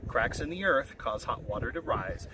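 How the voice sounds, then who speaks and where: A perceptive elk from a storybook , voiced by a man, explains things with animated pitch. The sound is off the microphone and thick with noise. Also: normal speed, close to an everyday voice. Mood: explaining. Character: perceptive elk calmly explaining rock formations. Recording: very noisy, distant-mic recording.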